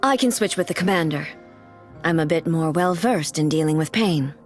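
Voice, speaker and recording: A woman acts a cool adult female operative in a game cutscene. She is wry and unflappable, speaking with dry composure in a lowish voice over background music. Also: moderate pace, natural voice. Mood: cool, reflective. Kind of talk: dubbed game cutscene voice acting.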